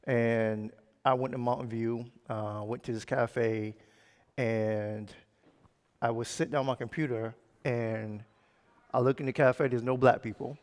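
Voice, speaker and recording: A man with a gravelly edge to his mid-range voice, interviewing a guest at an on-stage fireside chat. He speaks earnestly, restarts words and sometimes rushes. A long hall reverb trails his words. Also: medium pitch, steady tone. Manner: earnest, halting, repeats words, quick bursts. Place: conference stage in a large hall.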